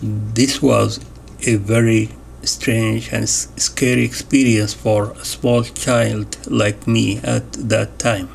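A man reading an interview transcript aloud in a measured way, announcing each question and answer. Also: lowish voice, flat delivery, creaky, a little hiss or hum. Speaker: voice-over reader of an interview transcript.

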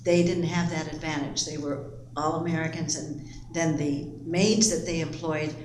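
Older woman recalling her youth, lowish voice. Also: fairly even intonation, darkish tone, slight background noise. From Panama Canal Zone. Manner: measured, reflective recollection. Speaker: interviewee. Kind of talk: oral history interview. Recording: echoey room with faint ambience.

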